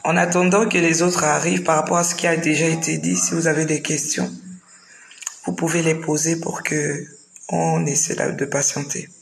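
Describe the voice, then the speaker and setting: A woman's voice: low voice, little pitch movement, normal speed, creaky, reverberant. A tired woman with the flu hosting a casual live stream, greeting viewers one by one and repeating her welcomes.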